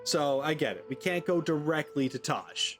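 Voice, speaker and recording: A man's voice: fairly high pitch, expressive, normal speed, soft background music. A man streaming a game with casual let's-play commentary, rambling as he thinks aloud. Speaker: gaming streamer.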